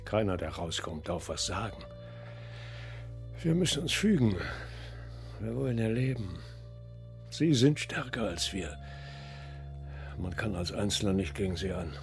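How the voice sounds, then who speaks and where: Hushed, resigned old man. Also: on the low side, very animated, measured pace, long pauses, faint music behind. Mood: fearful resignation. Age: older. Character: old widowed antiquarian, cautious, fearful, resigned. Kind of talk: German radio drama acting.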